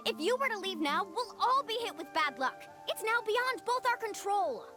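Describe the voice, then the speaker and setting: Stubborn young game guide lady, high voice, firm and sure, bit of music under. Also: sing-song, normal speed. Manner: firm, assured. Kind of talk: video game character acting.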